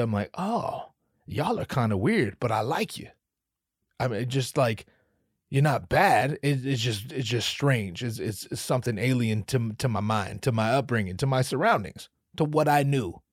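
A man's voice: medium pitch, dry recording. Profane rambling man, dry close sound. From US West Coast, Pacific Northwest. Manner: profane, rambling, self-interrupting. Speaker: podcast host. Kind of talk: solo comedic podcast rant.

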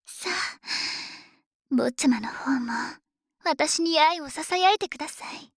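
A woman's voice: sits high, a little slow, no room sound. A woman voicing a devoted, possessive dragon maid in an ASMR drama, speaking close to the mic in polite, coaxing, intimate words that often slip into whispers. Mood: adoring, coaxing. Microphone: close microphone.

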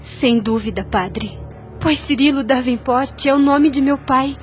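A devout young radio drama heroine, speaks in a high voice with faint music behind her. She is earnest and self-sacrificing, with a formal, literary way of putting things. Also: unhurried. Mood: earnest recounting. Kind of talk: radio soap opera acting. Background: music under the voice.

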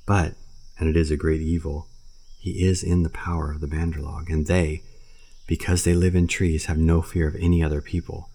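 A man voicing a proud, stern male panther who scolds slowly and impatiently. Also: very deep voice, level tone, warm tone. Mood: scornful.

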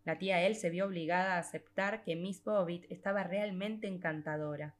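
A woman with a slightly low voice narrates a piece of literary fiction. She reads from a script in a measured way, drawing out certain phrases as an audiobook storyteller does. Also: a little hiss or hum.

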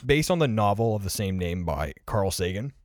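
A man's voice: on the low side, lively intonation, normal speed, dry recording, studio quality. A man in his early twenties talking casually and reflectively on a podcast, explaining his thoughts with plenty of "like" and "you know". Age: early 20s. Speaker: podcast co-host discussing favorite films.

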